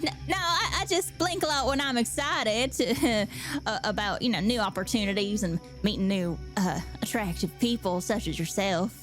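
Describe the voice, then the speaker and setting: A woman's voice: mid-range voice, moderate pace, music in the background, natural voice. A woman playing a flustered, gushing young adventurer. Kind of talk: tabletop game character voice.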